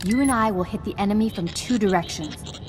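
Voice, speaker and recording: A woman playing a composed, resolute Jedi master in a video game, speaking in a measured, earnest and authoritative way. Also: medium pitch, noisy background, natural voice. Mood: focused. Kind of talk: scripted video game acting. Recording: game music behind.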